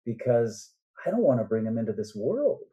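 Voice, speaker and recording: An older man speaking earnestly and deliberately as a novelist guest in a reflective podcast interview. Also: mid-range voice, sing-song. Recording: dull, muffled lo-fi sound.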